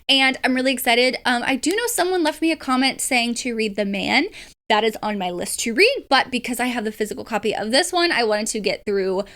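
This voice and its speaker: A woman's voice: fairly high pitch, fairly bright tone. A woman chatting casually about books in an informal, enthusiastic way, like a book vlogger. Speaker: book vlogger and readalong host.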